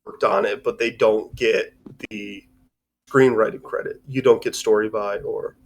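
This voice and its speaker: A man's voice: on the low side, fairly even intonation, measured pace, low-quality recording. A man hosting a livestream, discussing films in a casual, rambling, informal way. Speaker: host of a livestream discussion show.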